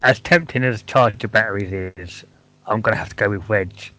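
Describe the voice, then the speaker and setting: A man's voice: fairly low pitch, normal speed, bright, forceful tone. A man co-hosting a casual podcast, reading questions with dry, wry understatement. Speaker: podcast co-host.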